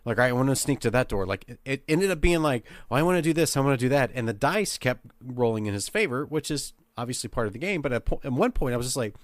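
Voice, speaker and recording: Mid-pitched man swapping gaming stories, dry sound. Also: expressive, fast, hardly any pauses, no echo. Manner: sweary, acts out dialogue. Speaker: podcast panelist and game master. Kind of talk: casual anecdote and chat.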